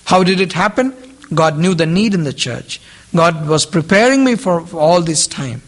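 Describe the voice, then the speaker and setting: A man's voice: on the high side, animated, moderate pace. A man preaching a sermon in English, his second language, who repeats key phrases and puts questions to his listeners. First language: not English; has a different mother tongue. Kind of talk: sermon to a group.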